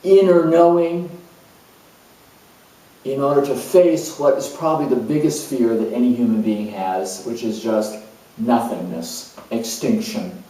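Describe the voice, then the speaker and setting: An actor playing a calm, spiritual hospice coordinator who speaks in an earnest, searching way with many pauses. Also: male voice, mid-range voice, lightly acted. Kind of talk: dramatized monologue. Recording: very echoey room, mic far off.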